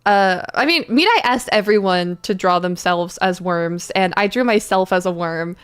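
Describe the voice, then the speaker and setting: A woman's voice: mid-range voice, normal speed, clean tone. A woman hosting a casual livestream, chatting to viewers in a playful, giggly, rambling way. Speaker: livestream host and voice actor.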